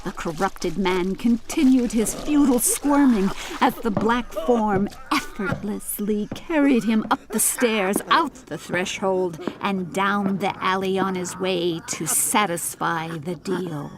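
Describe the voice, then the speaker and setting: Slow, measured woman narrating a storybook. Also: mid-range voice, background noise. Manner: measured, deliberate, literary delivery. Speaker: audiobook narrator.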